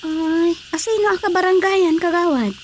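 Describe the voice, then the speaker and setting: An actress playing a gossipy, teasing village council colleague in a radio drama comedy, with a Filipino accent. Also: female voice, very high voice, fairly even intonation, normal speed. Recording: faint background noise.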